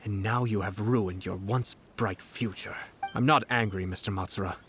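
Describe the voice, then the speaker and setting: An actor playing a composed, shrewd young nobleman in a video game, speaking eloquently with measured, subtly mocking courtesy. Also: lowish voice, fairly even intonation, fairly bright tone, a little hiss or hum, close to an everyday voice. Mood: cold reproach. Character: composed, shrewd young noble lord, politely cutting commissioner.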